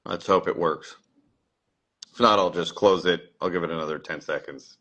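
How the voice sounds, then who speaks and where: A man's voice: low voice, steady tone, moderate pace, pushed and bright. A man hosting a casual livestream interview, chatty and full of fillers, often repeating his words. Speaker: livestream host.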